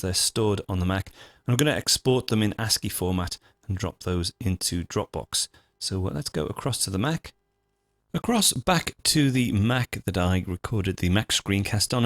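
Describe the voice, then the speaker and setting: A man hosting a software tutorial, explaining things step by step in an informal, methodical, conversational way. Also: on the low side, no echo, studio quality. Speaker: host of a software tutorial show.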